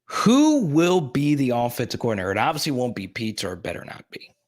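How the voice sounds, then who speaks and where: A man's voice: medium pitch, huge pitch swings, fairly quick. A young man hosting a sports live stream, rambling casually while reading and answering viewers' chat comments in his plain, everyday voice.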